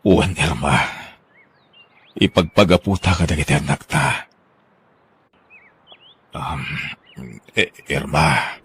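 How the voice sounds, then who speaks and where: A man playing Ray, a hurt but forgiving husband in an Ilocano radio drama, with a rough gravelly voice. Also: extremely low pitch, slow pace, pauses often, fairly bright tone, a little hiss or hum, heavily acted.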